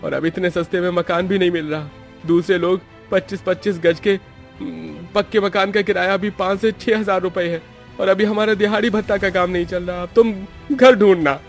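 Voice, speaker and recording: Exasperated high-voiced poor husband. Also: male voice, fairly even intonation, moderate pace, creaky, faint music behind. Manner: exasperated, complaining. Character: irritable, hard-pressed poor husband, daily-wage labourer. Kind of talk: Hindi cartoon story acting.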